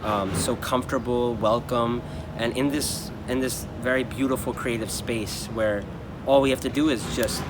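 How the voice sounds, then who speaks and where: A man's voice: mid-range voice, steady tone, normal speed, background noise. A man, a dancer with the company, chatting casually and earnestly, often restarting his sentences. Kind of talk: casual chat.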